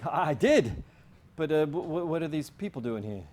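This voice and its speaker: An actor playing an excitable husband in a staged reading of a period comedy play. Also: male voice, fairly high pitch, normal speed. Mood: excited, baffled. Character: John, husband startled by visitors at home.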